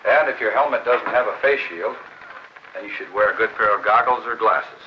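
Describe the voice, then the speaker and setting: A man acting as an earnest American motorcycle rider in a 1960s training film, with a fairly low voice. He explains patiently in a didactic, measured way. The old soundtrack is dull, with faint noise. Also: lightly acted. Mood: earnest, instructive.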